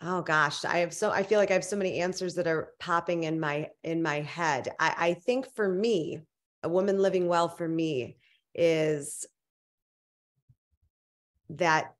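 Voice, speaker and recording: A young woman speaks as an author on a video call from her home, with a voice set low for a woman. She answers earnestly, often stopping and restarting her sentences as she looks for the right words. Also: unhurried. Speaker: guest author. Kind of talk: casual interview answers. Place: home living room indoors. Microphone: video call webcam.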